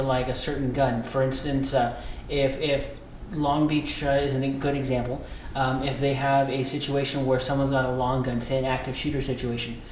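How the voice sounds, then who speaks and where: American male interviewee, mid-pitched, explanatory, halting conversational delivery; roomy sound. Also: a little mellow. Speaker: police dispatcher being interviewed. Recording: echoey room with faint background noise.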